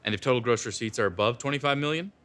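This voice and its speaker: A man's voice: mid-range voice, very fast, a bit bright, lightly acted. A man playing a wisecracking noir detective. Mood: probing. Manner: clipped noir patter. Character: hard-boiled male private detective, dry and wisecracking. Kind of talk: parody noir audio drama.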